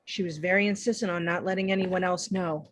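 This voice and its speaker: A woman's voice: on the low side, steady tone, fairly quick, lightly acted. Woman playing an anxious, snippy receptionist. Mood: irritated, anxious. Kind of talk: drama table read.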